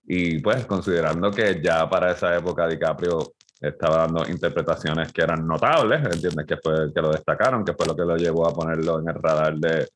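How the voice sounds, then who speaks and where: Deep-voiced middle-aged man, rambling critic. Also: steady tone, fairly quick, hardly any pauses, slight background noise. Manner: rambling, with many fillers and restarts. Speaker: film critic podcast co-host. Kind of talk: casual film discussion. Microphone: headset.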